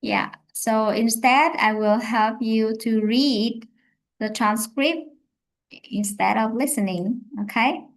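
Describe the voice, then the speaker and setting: Woman, live-stream English teacher, slow and halting delivery. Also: fairly high pitch, poor audio. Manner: slow, hesitant, many fillers and self-corrections. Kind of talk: live-streamed language lesson.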